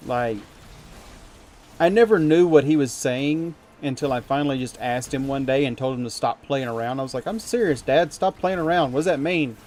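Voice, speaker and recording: A man's voice: medium pitch, a little mellow, a little hiss or hum. Chatty man streaming to his viewers. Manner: rambling, chuckling, self-deprecating. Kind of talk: casual chat with viewers while gaming.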